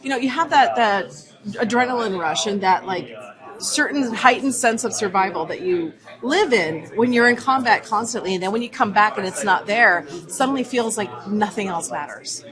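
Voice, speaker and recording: Lady guest talking through stuff informally, mid pitch, loud busy crowd behind. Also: animated, normal speed. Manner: informal, explanatory. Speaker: comic writer, interview guest. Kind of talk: casual interview answers. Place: convention floor, recorded live. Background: crowd chatter.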